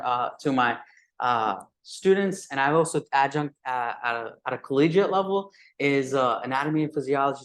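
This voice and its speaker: Halting man demoing software at a meetup. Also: mid-range voice. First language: Urdu. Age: 20s. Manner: halting, many fillers and restarts, frequent "right?". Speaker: teacher presenting at a meetup. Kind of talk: live demo talk.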